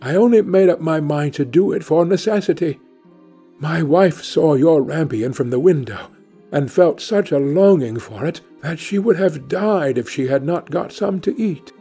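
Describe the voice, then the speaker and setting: Devoted fairy-tale husband, earnest and pleading, mellow, husky voice, played by a male narrator. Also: a little high, lively intonation, moderate pace. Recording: music under the voice.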